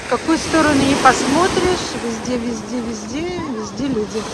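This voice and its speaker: A woman's voice: a little high, lively intonation, moderate pace. A woman vlogging on a crowded beach, talking in an upbeat, inviting way and repeating words for emphasis, recorded on a handheld phone. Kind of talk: casual vlog commentary. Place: crowded sandy beach outdoors by the surf. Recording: loud surf and wind noise.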